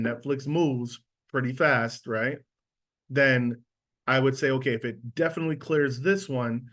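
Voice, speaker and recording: A man leads a relaxed online lesson, talking it through in an easy, everyday voice. His pitch sits in the ordinary middle range. He often pauses to check that his listeners are following. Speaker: trading instructor. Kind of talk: live online trading lesson. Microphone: video call.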